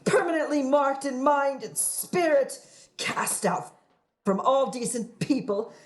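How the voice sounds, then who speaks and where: A high, theatrical scheming widow who poses as a moral crusader. The delivery is slow and florid, sweeping up and down with prim, sanctimonious grandeur, as in a stage-flavored radio drama. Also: female voice, expressive. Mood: righteous, overwrought. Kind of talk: theatrical radio play acting.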